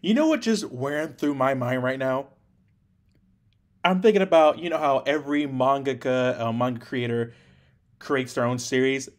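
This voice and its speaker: A man's voice: medium pitch, slow pace. A man in his twenties with an American accent giving casual, slangy reaction commentary. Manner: slangy, exclamatory. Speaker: anime reaction host. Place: small room at home.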